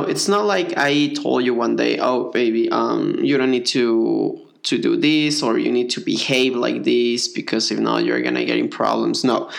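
A man's voice: mid-range voice, creaky. A man from Mexico, a native Spanish speaker with a Mexican accent, co-hosting a casual conversation and rambling with many fillers and restarts. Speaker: podcast co-host.

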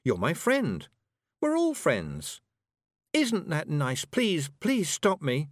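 A man's voice: fairly high pitch, wildly swooping pitch, slow, no room sound, clean studio sound, pitched above the performer's own voice, heavily acted. A man voicing an unsettled young girl with a pleading manner in a storybook reading. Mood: eerily friendly.

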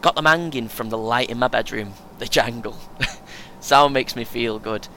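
Audio play: male actor as cocky, earnest young British runner, chatty know-all, bright edge, average pitch, light engine rumble underneath. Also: measured pace. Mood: proud, boastful. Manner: earnest, self-important, chatty, chuckles often. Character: chatty, boastful, eager young amateur marathon runner. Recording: faint vehicle noise behind. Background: vehicle rumble, coach ambience.

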